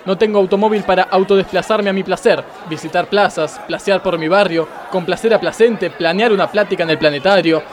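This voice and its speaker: A man's voice: high voice, brisk, few pauses, lightly acted. An Argentine man playing a pompous, exasperated, self-pitying judge in a comic radio play, declaiming melodramatically. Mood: melodramatic self-pity. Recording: crowd noise behind the voice.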